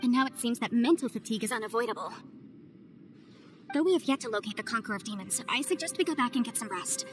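A young adviser reasons things through in a measured, methodical way, played by a woman. Her voice sits a little high, and clear game music plays beneath her. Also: lively intonation, lightly acted. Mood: thoughtful. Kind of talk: game cutscene voice acting.

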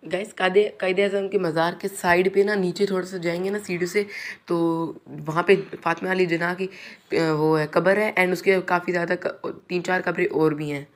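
A man's voice: high voice, steady tone, normal speed. A man from Pakistan, giving a casual vlog tour of a marble mausoleum crypt on a handheld phone and constantly addressing viewers as "guys". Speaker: vlogger.

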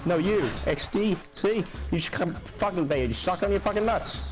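A man putting on a swearing Aussie troll, high. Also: normal speed, faint music behind, lightly acted, low-quality recording. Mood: rowdy. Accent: put-on Australian. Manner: crude, swears constantly, taunting. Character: foul-mouthed rowdy Aussie troll man. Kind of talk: game voice chat roleplay.

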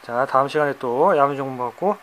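A middle-aged man with a hoarse, cracking voice, talking casually in a how-to vlog about his pets, recorded on a handheld phone indoors. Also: mid-range voice, fast talker, a bit bright, a little hiss or hum. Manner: chatty, teasing, drops into banter. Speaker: pet keeper vlogger. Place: indoors among pet enclosures.

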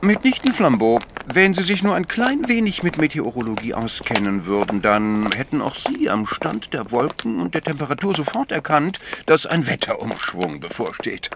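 An actor playing a mild, observant little priest in a German audio drama, speaking precisely and a bit pedantically. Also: male voice, mid-range voice, sing-song, normal speed, few pauses, background noise. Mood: gently correcting.

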